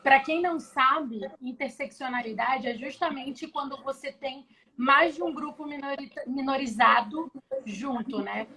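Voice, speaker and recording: A woman's voice: high-pitched, unhurried, poor audio. A woman from Belém in Brazil, speaking, chatting casually and explaining ideas over a video call. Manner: relaxed, explanatory, frequent restarts. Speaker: guest, tech professional. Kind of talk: casual live chat.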